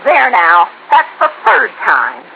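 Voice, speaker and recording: An actress playing a grumpy, blunt, folksy housekeeper in an old-time radio drama. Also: female voice, medium pitch, wildly swooping pitch, slow, gravelly, heavily acted. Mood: crabby. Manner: crabby, folksy, ungrammatical speech. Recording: dull, hissy old radio recording.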